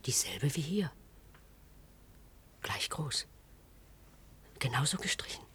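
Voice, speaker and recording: A timid, sheltered radio-drama woman who clings to safety. Her voice is very breathy and often whispered, with wide swings up into urgent, pleading insistence. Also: very deep voice, big pitch swings, slow, a bit bright, full character voice. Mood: hushed, timid. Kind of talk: German radio play acting.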